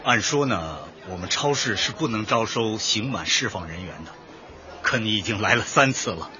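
Busy shop boss, super bright forceful voice, polite n businesslike, radio play, dull recording. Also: male voice, lowish voice, expressive, moderate pace. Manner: effusively polite, chuckling, businesslike. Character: busy, obliging male supermarket boss, apologetic yet businesslike. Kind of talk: radio drama acting. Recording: dull band-limited audio, faint noise.

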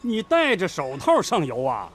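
A man acting a middle-aged master worker in a radio drama, gruff and blunt as he lectures. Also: high voice, huge pitch swings, normal speed, a bit bright, soft background music, heavily acted. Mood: teasing. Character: gruff veteran factory master worker, teaching sternly. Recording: faint background noise.

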